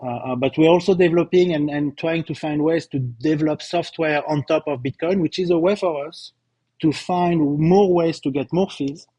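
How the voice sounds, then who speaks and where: Man, slight French accent, middle-aged, fairly high voice, dry close sound, emphatic, halting with fillers. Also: mellow, slightly rough, dry recording, highs cut off. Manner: repeats words, many filler sounds, emphatic. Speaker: company co-founder presenting results. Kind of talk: live corporate presentation.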